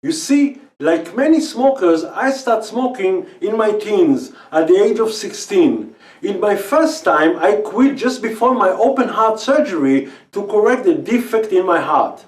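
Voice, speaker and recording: A man's voice: high-pitched, animated, a little slow. A middle-aged man with an Israeli accent, a native Hebrew speaker, telling his own story of quitting smoking in earnest, slightly non-native English. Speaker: speaker pitching a quit-smoking program. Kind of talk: personal testimonial talk. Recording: roomy, from some distance.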